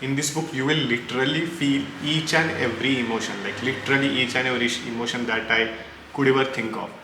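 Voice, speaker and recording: A man's voice: medium pitch, quick pace. A man with an Indian accent chatting casually and opinionatedly about books, rambling and mixing Hindi into English. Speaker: book reviewer reacting to recommendations. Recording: echoey room with clear background noise.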